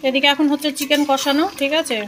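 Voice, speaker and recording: Woman, fairly high pitch, chatty lifestyle vlog style, conversational, addresses viewers directly. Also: flat delivery, quick pace, fairly bright tone, a little hiss or hum. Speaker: family lifestyle vlogger. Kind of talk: casual chatty vlog narration.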